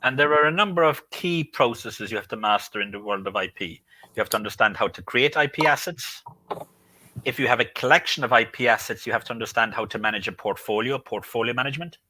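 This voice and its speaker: A man from the UK, a guest speaking from his home, explaining things in a measured way. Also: mid-range voice, pushed and bright. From United Kingdom. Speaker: guest, IP consultant. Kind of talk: interview answers.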